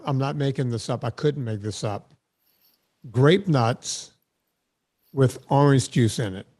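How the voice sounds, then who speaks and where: A man's voice: medium pitch, a little mellow, no room sound. A man in his late fifties hosting a podcast, telling stories in a chatty way and cutting in with questions. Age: 50s. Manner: chatty, storytelling, interrupts with questions. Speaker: podcast host. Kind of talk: casual interview chat.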